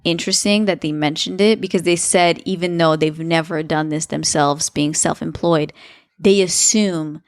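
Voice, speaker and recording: Low-voiced woman chatting casually. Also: fairly even intonation, moderate pace, dry recording. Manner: chatty, lots of fillers. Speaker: podcast co-host. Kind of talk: casual chat.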